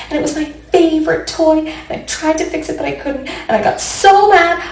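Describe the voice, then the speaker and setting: Woman as a whiny, upset little boy, high-pitched. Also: recorded from a distance. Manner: breathless run-on complaining. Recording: roomy echo, faint background noise.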